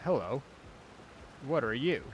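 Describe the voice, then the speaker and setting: A man's voice: medium pitch, sing-song, slow pace, dull audio, a little hiss or hum. A man streaming a video game with casual, skeptical commentary and muttered asides. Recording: game music behind the voice.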